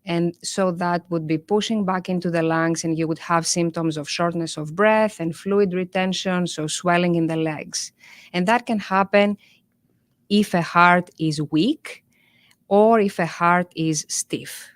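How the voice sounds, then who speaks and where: A woman with a Greek accent explains medical topics in a careful, measured way, pausing and restarting as she goes. Her voice sits a little low for a woman. The sound is dry and close. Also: fairly even intonation, dry recording. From Greece. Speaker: cardiologist guest. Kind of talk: interview conversation.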